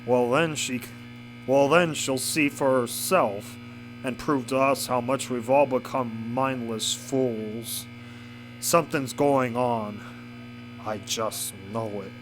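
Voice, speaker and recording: A man's voice: medium pitch, fairly even intonation, slow pace, background noise, close to an everyday voice. An actor playing a suspicious, bitter, grieving and drunk male maintenance pony in an audio drama. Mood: bitter, muttering. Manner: challenging, conspiratorial.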